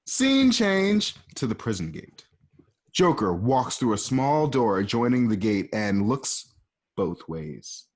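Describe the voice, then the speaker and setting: A man's voice: mid-range voice, big pitch swings, slow, breathy edge. A man reading the stage directions of a home-recorded radio play in a plain, matter-of-fact way. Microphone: home microphone.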